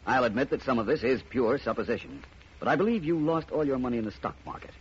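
An actor playing a shrewd, self-assured detective in a 1950s radio drama, suave and deliberate with wry phrasing. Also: male voice, mid-range voice, expressive, a little hiss or hum, close to an everyday voice. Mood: explaining calmly. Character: shrewd, self-assured private detective explaining his case. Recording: muffled vintage radio recording.

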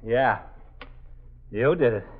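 An actor playing a level-headed, loyal New York police sergeant in a 1950s radio drama. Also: male voice, mid-range voice, animated, takes it slowly, no echo. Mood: calm, dry. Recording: dull, band-limited 1950s radio recording.

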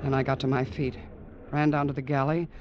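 A man's voice: medium pitch, level tone, a little fast, background noise, lightly acted. An actor as a radio drama narrator, grimly and intensely recounting a troubled man's ordeal from a letter. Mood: tense, urgent. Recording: dull vintage radio, music underneath.

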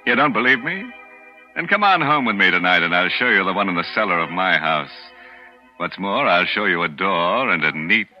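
A chuckling, sinister horror host. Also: male voice, sits low, expressive, normal speed. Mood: sly. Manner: chuckling, teasing, darkly joking. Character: sinister, mocking male horror host, gleeful and macabre. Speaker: host of a horror radio show. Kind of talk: 1940s radio acting. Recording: muffled 1940s radio broadcast with music.

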